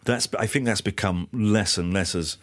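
A man's voice: fairly low pitch, normal speed, fairly bright tone. A middle-aged man interviewing a founder, conversational, often checking in with "right?". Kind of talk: interview questions.

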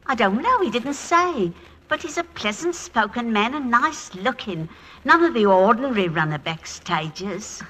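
A deferential theatre maid with a husky, high voice. Her pitch swings as she chatters politely, in the manner of a 1940s radio play. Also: animated, moderate pace, a bit bright, low-quality recording. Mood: chatty, respectful. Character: deferential chatty British theatre dresser, female servant. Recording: vintage 1940s radio recording.

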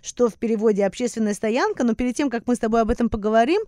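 A woman's voice: a little high, racing, mellow, no echo, clean studio sound. A woman hosting a podcast in a conversational interview style. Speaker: podcast host.

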